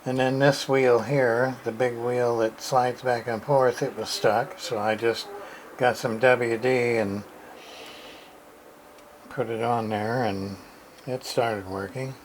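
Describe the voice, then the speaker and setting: Slow-talking man over clear background noise. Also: mid-range voice, steady tone, rough recording. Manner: halting, thinking aloud. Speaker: repair hobbyist showing his work. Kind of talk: casual unscripted repair demonstration.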